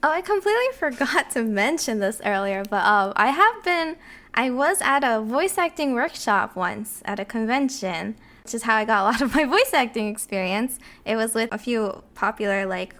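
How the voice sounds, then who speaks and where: Higher-pitched giggly woman chatting casually. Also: moderate pace. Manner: giggly, animated storytelling with quoted asides. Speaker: voice actor, podcast narrator. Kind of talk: casual chat.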